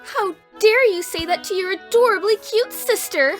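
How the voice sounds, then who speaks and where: A woman's voice: extremely high pitch, normal speed, faint music behind. A woman voicing a playful, bossy, theatrical young sister in an audio drama. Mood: sly, smug. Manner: theatrical, teasing, sing-song exaggeration.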